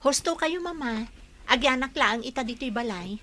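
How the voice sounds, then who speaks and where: A woman acts a sincere young nurse nursing a broken heart in an audio drama. Her voice is high and very bright, with earnest, expressive delivery. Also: normal speed. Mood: wistful, sincere. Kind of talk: Ilocano audio drama dialogue.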